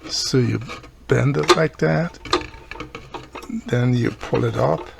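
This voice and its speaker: A man's voice: mid-range voice, slow pace, vocal fry, noisy background. A man who is not a native English speaker explains a hands-on repair step by step, unscripted, often checking in with the viewer. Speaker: radio repair hobbyist presenting a tutorial.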